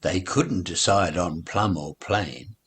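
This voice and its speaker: A man reading nursery rhymes aloud as a storybook recitation, in a measured, rhythmic delivery. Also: low-pitched, fairly bright tone, slightly rough.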